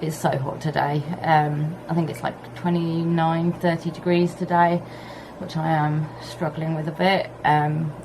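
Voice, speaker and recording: A woman chats to her vlog camera at home, her low voice worn husky and hoarse with a gravelly edge. She rambles in an easygoing, unhurried way. A faint hum from a fan sits under her voice. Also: little pitch movement. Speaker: vlogger. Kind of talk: casual vlog chat to camera. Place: home on a hot day, fan running. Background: fan and pressure washer outside.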